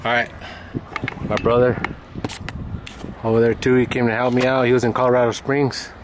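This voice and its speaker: A man's voice: mid-range voice, flat delivery, normal speed. A middle-aged man chatting casually to his vlog audience outdoors, recorded on a phone. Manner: laid-back, informal. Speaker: vlogger, car customizer. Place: outdoors, in or near a vehicle. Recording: loud wind noise from vehicle outdoors. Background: wind and traffic.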